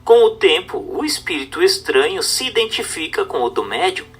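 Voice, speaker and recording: A man's voice: high voice, sing-song, normal speed, fairly bright tone, slightly rough. A man with a Brazilian accent reading a book aloud as scripted narration, in a formal, didactic manner. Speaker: audiobook narrator.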